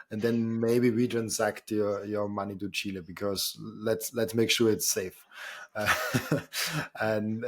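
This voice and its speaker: Guy hosting a podcast, lowish voice, lots of uhs, keeps agreeing. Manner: agreeing eagerly, with frequent "uh"s and repeated words. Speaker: podcast host. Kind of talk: casual interview talk.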